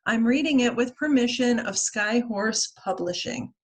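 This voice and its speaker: A woman with a fairly high voice reads a picture book aloud for young children. Her pace is very slow, and her phrasing is deliberate and expressive, as a librarian's at story time. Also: breathy edge. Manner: expressive, deliberate phrasing with acted-out character lines.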